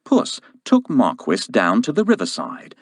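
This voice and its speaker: A man narrating a children's story from a script in an expressive, deliberate way. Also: mid-range voice, breathy edge, dry recording, studio quality.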